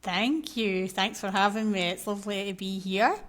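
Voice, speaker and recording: A woman's voice: mid-range voice, fairly even intonation, moderate pace. A Scottish woman in her thirties, a podcast guest who tells her own story candidly and with animation in casual chat. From Scotland. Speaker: podcast guest, maternal mental health coach.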